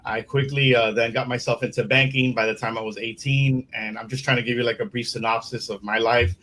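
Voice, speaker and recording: Middle aged guy from miami telling his career story, lots of uh's, avg pitch voice. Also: level tone, a little fast, a bit bright, low-quality recording. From Miami, Florida. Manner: rambling, many fillers and restarts. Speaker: guest, sales consultant. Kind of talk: casual interview talk.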